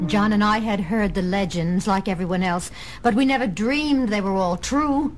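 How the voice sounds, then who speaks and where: A woman's voice: mid-range voice, moderate pace, a little hiss or hum, lightly acted. A woman playing an anxious, apologetic captive scientist in a children's audio comic, earnest and pleading. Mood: grave. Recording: faint music behind.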